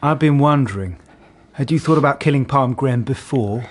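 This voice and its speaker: A man acts a considerate male detective in a naturalistic radio drama. He sounds measured and gently probing, with a mid-pitched everyday male voice. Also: lightly acted. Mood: patient, gentle.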